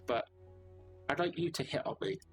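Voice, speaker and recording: A man voicing a sad, weary woman in a taxi who speaks dryly and frankly, in a soft tone, over game music. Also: a little high, normal speed, voice pushed up, lightly acted. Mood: nervous.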